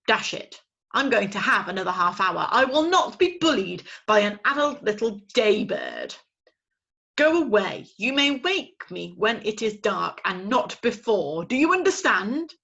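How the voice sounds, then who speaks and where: A woman voicing a grumpy, sleepy father barn owl with a gruff, blustering manner. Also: fairly high pitch, very animated, a little slow, fairly bright tone, muffled recording, pitched above the performer's own voice, exaggerated voice.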